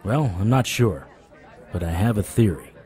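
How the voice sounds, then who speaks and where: An actor playing a stubborn, wisecracking supernatural private investigator in an audio drama, wry, flirtatious and persuasive. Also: male voice, on the low side, animated, moderate pace, warm tone, some chatter behind, lightly acted. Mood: teasing. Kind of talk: audio drama acting with noir internal monologue.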